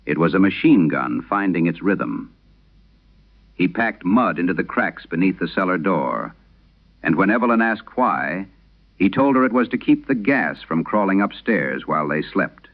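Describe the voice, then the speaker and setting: Deep-voiced old priest played by an actor, grave and haunted. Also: male voice, very animated, narrow, tinny audio, full character voice. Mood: haunted, solemn. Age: older. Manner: solemn, measured storytelling. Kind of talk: dramatic scripted horror radio monologue.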